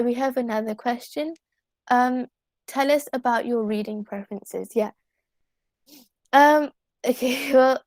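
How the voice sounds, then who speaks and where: A woman's voice: a little high, darkish tone, no room sound. A teenage girl with a British accent, talking casually as an interview guest in an earnest, polite and articulate way. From United Kingdom. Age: teenager, fifteen. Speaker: guest poet.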